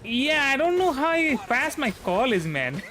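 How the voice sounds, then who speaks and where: A young man with a high, bright voice and an Indian Bengali accent chats casually over a video game. He rambles, hesitates and repeats himself as he streams, with faint music behind him. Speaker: livestreamer, VTuber. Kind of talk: casual gameplay commentary.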